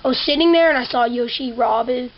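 A goofy, rambling penguin fellow who cheerfully loses track of his own story, played by a young woman. The voice sits high, and the audio is dull and lo-fi from a home camera. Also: a little fast, a little hiss or hum, close to an everyday voice. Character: goofy dim male penguin witness. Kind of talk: home plush toy puppet show.

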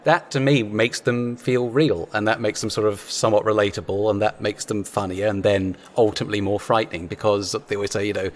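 A man with a fairly low voice talks at a rapid clip. He is chatty and animated, drifting through asides as he tells his stories in casual conversation. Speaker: audio drama writer.

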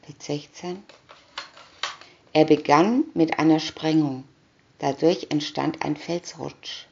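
Female storybook narrator: low-pitched, deliberate with pauses between captions, muffled lo-fi recording. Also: fairly even intonation, vocal fry, mellow, a little hiss or hum. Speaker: reader of picture-story booklets. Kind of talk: scripted narration of a children's comic story. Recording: dull lo-fi recording.

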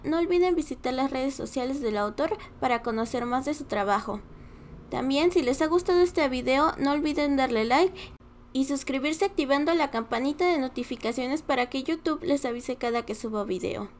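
Woman, high pitch, Latin American accent, casual host outro, audible background noise. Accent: Latin American Spanish. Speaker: channel host.

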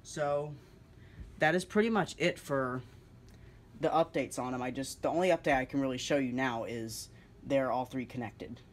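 A man's voice: mid-range voice, normal speed, a little hiss or hum. A man giving a casual, unscripted demonstration in an informal, explanatory way. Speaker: hobbyist video creator demonstrating two-way radios. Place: indoors, kitchen-like room with countertop.

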